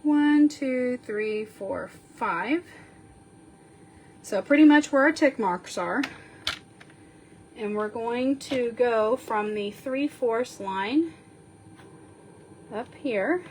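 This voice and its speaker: A woman sniffling a little as she slowly talks through a card-making tutorial, counting measurements aloud while she works. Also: fairly high pitch, expressive, a little mellow, slight background noise. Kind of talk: step-by-step crafting tutorial.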